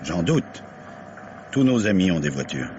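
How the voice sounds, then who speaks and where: An actor playing a troubled, reserved husband in a French radio mystery, speaking soberly, with polite restraint and doubt. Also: male voice, low-pitched, very animated, measured pace, a little mellow. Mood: troubled, doubtful. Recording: dull, noisy vintage radio recording.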